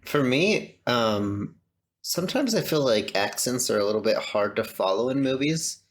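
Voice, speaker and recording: A man's voice: medium pitch, animated, moderate pace, a little hiss or hum. A man from the United States co-hosting a podcast, chatting casually and hesitantly, poking fun at himself. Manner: easygoing, self-deprecating, hesitant. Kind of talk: casual film discussion.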